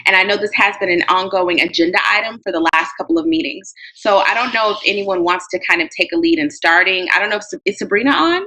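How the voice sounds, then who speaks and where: A woman's voice: fairly low pitch, quick pace, few pauses, sharp tone, low-quality recording. A woman facilitating an online meeting, hesitant with many fillers.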